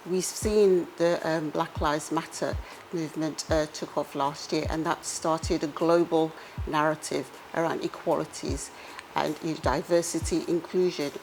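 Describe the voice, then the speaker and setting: A woman speaking in a measured, earnest way in an interview. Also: deep voice, steady tone, creaky, a little hiss or hum, poor audio. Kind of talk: interview testimony.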